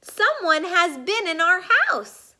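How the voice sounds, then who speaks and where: A woman's voice: very high-pitched, big pitch swings, slow, pushed and bright, pitched above the performer's own voice, exaggerated voice. A woman voicing a worried, dismayed mama bear from a storybook.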